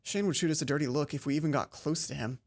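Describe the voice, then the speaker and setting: A woman's voice: sits low, quick pace. A woman hosting a let's play, reading a visual novel aloud with casual commentary and acting out the dialogue. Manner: animated, acts out dialogue.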